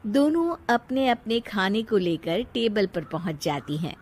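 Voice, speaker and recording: A woman narrating a scripted moral story in a measured storytelling delivery. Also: mid-range voice, very animated.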